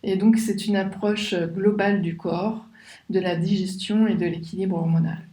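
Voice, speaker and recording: A woman's voice: mid-range voice, steady tone, normal speed, warm tone, reverberant, rough recording. A woman hosting a podcast who introduces the show and her guest, then asks questions conversationally, with frequent fillers. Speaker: podcast host.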